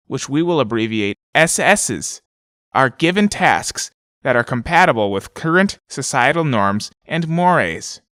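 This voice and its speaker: A man voicing a self-assured corporate researcher, reading a clinical report in a formal, deliberate way. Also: mid-range voice, a bit bright, no room sound, studio quality. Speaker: audio drama narrator. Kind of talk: scripted narration, reading letters and documents.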